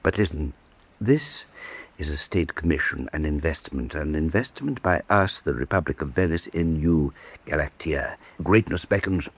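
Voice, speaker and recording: A vain Venetian statesman of a historical radio drama. His low, husky voice swoops widely as he lectures with suave pomposity and silky menace, savouring repeated words. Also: heavily acted. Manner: suave, pompous, deliberate rhetorical repetition. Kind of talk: full-cast radio drama acting.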